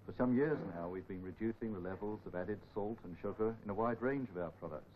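Middle-aged male company expert, lowish voice, scripted delivery, dull film audio, slight background noise. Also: fast. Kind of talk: scripted presentation to camera. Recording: dull old film sound, faint noise.

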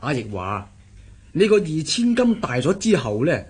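A crafty middle-aged adviser in a Cantonese radio play. His husky voice swings up and down as he coaxes and teases with a Hong Kong accent. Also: fairly high pitch, sing-song, normal speed, a little mellow. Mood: amused, coaxing. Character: sly, chuckling middle-aged adviser and scheming uncle. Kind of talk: radio drama acting.

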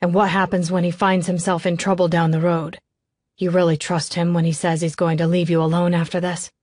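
A woman's voice: fairly low pitch, little pitch movement, brisk, a little mellow, dry recording, lightly acted. A woman narrator voicing a fierce, protective male lover with an intense, demanding manner in an audiobook. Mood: controlled anger.

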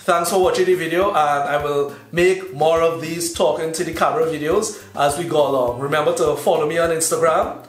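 A man's voice: fairly high pitch, fairly quick. Man with a Trinidadian accent. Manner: relaxed, explanatory, gestures while talking. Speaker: YouTube host teaching. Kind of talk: casual talking-to-camera lesson. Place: indoors in front of a plain backdrop. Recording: echoey room with faint music.

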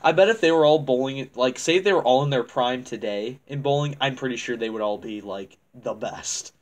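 A young man talks casually with a friend in a small dim room, his voice caught by a webcam a few feet away. His pitch sits in the middle of the range, and he rambles, restarts sentences and laughs often. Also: brisk. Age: young adult. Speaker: channel host. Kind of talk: casual chat.

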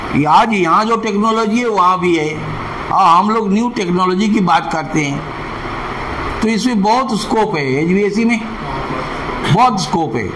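A man's voice: high voice, normal speed. A man, explaining things as a senior technical instructor in an interview. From India. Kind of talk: explaining in an interview before a class. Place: small classroom, indoors. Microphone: clip-on lapel mic. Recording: noisy room ambience.

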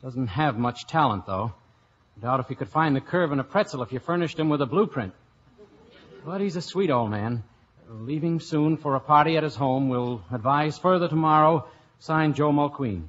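An actor playing a breezy, wry young investigator in an old radio comedy. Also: male voice, fairly high pitch, expressive, moderate pace, fairly bright tone. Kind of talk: 1950s radio comedy acting. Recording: muffled vintage radio broadcast.

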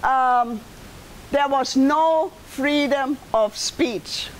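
An older woman with a heavy German accent, giving a loud, projected talk to an audience in a library meeting room. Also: high-pitched, very slow, slight background noise, rough recording. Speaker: guest speaker. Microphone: far camera.

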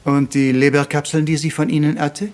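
A man's voice: a little high, little pitch movement, a little fast, a little mellow, natural voice. A man playing a courteous, probing little Belgian detective in a German crime radio drama, formal and questioning, with French interjections. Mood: polite, inquiring.

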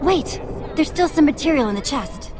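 A young underdog heroine in a video game, high and very animated. She is plucky, wry and defiant, over music and crowd noise. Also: moderate pace. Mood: urgent. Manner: earnest, wry, mock-grand.

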